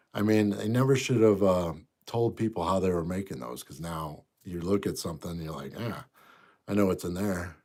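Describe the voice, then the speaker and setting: A man in his fifties, a bit congested, casually chatting on a livestream and answering viewer comments in an easygoing, rambling way. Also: sits low. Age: 50s. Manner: easygoing, rambling, trails off quietly. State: congested, stuffy nose. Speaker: livestream host.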